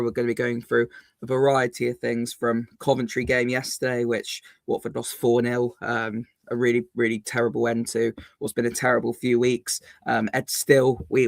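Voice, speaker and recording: A man co-hosting a football fan channel, his voice a little croaky, talking casually with many ums and restarts. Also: mid-range voice, no echo. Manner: chatty, lots of ums and restarts. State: slightly croaky voice. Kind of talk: casual podcast chat.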